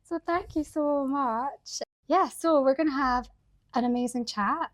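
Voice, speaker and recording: A woman's voice: high voice, measured pace. A woman hosting a podcast, chatting enthusiastically and laughing often with her guest. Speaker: podcast host. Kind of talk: warm podcast interview.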